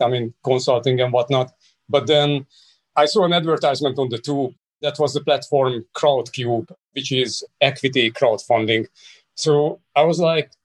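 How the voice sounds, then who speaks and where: A man in his forties with a Hungarian accent speaks as a podcast guest, telling personal stories in a conversational way. His voice is mid-pitched, and the sound is dry, with very little room on it. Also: no room sound. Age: 40s. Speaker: podcast guest, startup co-founder. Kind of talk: conversational interview answers, personal storytelling.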